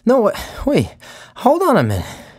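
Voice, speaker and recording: A man's voice: very high voice, huge pitch swings, measured pace, husky, pitched above the performer's own voice, full character voice. A man voicing an anxious young man who stammers and pleads, out of breath. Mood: urgent.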